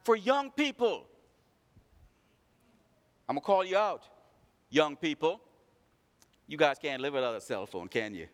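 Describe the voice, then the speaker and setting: A man's voice: fairly high pitch, very animated, measured pace, pauses often, in a large hall. A man preaching a sermon, calling out his congregation with rhetorical questions. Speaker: pastor.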